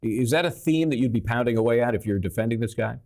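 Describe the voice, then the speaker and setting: A man hosts a live legal discussion with a mid-pitched voice. He builds up his questions in an emphatic, halting way, often doubling back on words. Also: a little mellow. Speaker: host of a legal discussion show. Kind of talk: live panel interview, posing questions to analysts.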